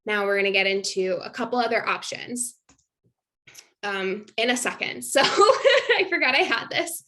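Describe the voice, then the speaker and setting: A woman's voice: medium pitch, expressive, moderate pace, sharp tone. A young adult woman, a nutrition coach, giving a casual online masterclass in a chatty manner full of fillers and little laughs. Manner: chatty, filler-heavy, laughs between points.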